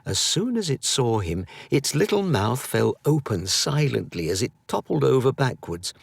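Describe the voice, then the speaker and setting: A man narrating a children's story expressively, with dramatic, drawn-out emphasis. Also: mid-range voice, sing-song, creaky, husky, no room sound. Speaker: audiobook narrator.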